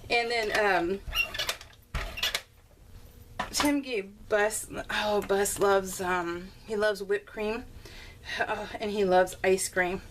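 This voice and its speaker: A middle-aged woman talks through her crafts in a casual, unscripted vlog style. Her voice sits at an ordinary pitch for a woman, and her manner is chatty, giggly and rambling. There is faint noise behind her, as in a simple home recording. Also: steady tone, takes it slowly. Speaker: craft vlogger presenting her scrapbook layouts. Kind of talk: casual, unscripted chat to viewers while showing a scrapbook.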